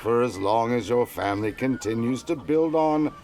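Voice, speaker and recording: An actor playing an officious, self-important local magistrate in an audio drama, with a pompous, proclaiming manner. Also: male voice, medium pitch, animated, moderate pace, close to an everyday voice.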